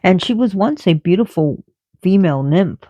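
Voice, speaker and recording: A woman giving a casual spiritual talk about myth and oracle cards, halting often and restarting as she searches for words. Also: lowish voice, lively intonation, mellow, no room sound. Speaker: oracle card reader.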